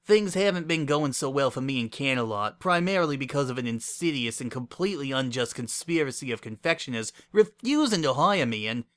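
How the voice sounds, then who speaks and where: A man's voice: mid-range voice, expressive, brisk, few pauses, fairly bright tone, lightly acted. A man voicing a haughty, scornful young female pony with a pompous, sniffy manner in a dramatic story reading. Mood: smug, airy.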